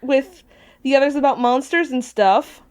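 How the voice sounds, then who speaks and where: Playful lady telling stories in a casual chat, voice a bit high. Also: moderate pace, a little mellow. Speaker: podcast voice actor.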